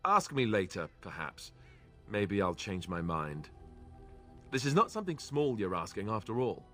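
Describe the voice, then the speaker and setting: An actor playing an earnest young warrior, reluctant and unsure, in a fantasy video game. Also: male voice, fairly low pitch, sing-song, moderate pace, a bit bright, faint music behind.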